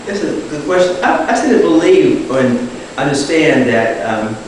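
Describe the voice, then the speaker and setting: A man giving a public talk, answering an audience question in a thoughtful, measured way, often restarting his phrases. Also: a little high, lively intonation, background noise. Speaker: guest speaker. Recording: echoey hall taped from far off.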